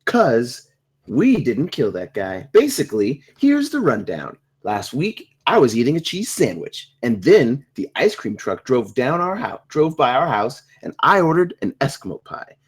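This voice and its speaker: Man doing a husky, swooping, dim slacker. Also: mid-range voice, big pitch swings, measured pace. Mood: earnest, muddled. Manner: rambling, muddled. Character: dim, rambling, earnest slacker defendant. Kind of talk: table read of a comedy script.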